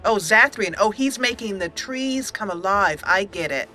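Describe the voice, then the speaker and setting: Bright-voiced woman gaming host. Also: medium pitch, measured pace, faint music behind. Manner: chatty, animated thinking aloud. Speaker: let's play host. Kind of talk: casual gameplay commentary.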